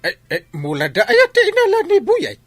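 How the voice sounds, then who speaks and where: A man's voice: very high voice, wildly swooping pitch, moderate pace, a bit bright, soft background music, full character voice. A man acting a mischievous, easily flustered village man in an Ilocano comedy radio drama, stammering and exclaiming with an Ilocano accent. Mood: flustered, protesting.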